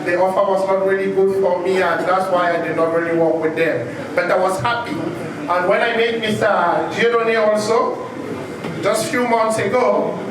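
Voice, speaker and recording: A man from Cameroon speaks earnestly to a gathering, thanking people between long anecdotes. His voice sits high. It is picked up from a distance in a very reverberant, noisy room. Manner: earnest, grateful, rambling anecdotes. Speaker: safety professional giving a speech. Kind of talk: speech to a gathering. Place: large echoey event space. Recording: echoey far-off room recording, noisy.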